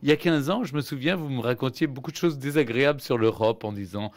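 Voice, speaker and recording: A man in his forties hosting a radio studio interview in a lively, teasing way, quick to laugh. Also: medium pitch. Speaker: radio host. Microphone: studio desk microphone.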